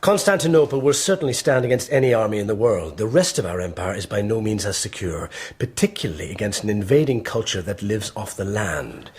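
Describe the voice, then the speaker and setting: Radio drama: stern imperial envoy, husky and fairly low, formal, authoritative. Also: male voice, animated. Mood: grave, urgent. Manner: formal, measured, briefing. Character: grave male imperial Roman ambassador, authoritative strategist.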